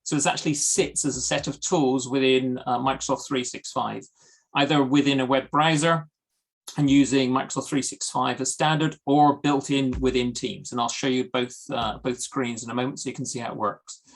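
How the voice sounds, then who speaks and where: A man from the UK giving a product presentation in a webinar over a video call, steady, with frequent ums and uhs. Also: mid-range voice, normal speed, creaky. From United Kingdom. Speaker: guest presenter.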